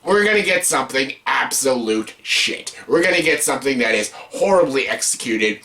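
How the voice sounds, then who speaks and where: A man's voice: medium pitch, expressive, normal speed, pushed and bright. A man hosting a casual ranked-list movie review, blunt, profane and exasperated, repeating himself for emphasis. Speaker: video host, amateur movie reviewer.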